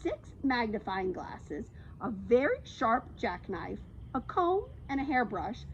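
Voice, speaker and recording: A woman reads a children's story aloud as a storytime reader. Her voice is high and swings widely in pitch. The recording is slightly dull. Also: very animated, slow pace. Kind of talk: animated read-aloud of a children's book. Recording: slightly dull audio, faint background noise.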